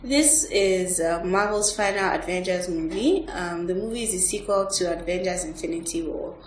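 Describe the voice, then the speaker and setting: Woman, podcast host, lower-pitched voice, roomy echo, faint background noise. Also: moderate pace, distant mic. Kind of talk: movie review podcast talk.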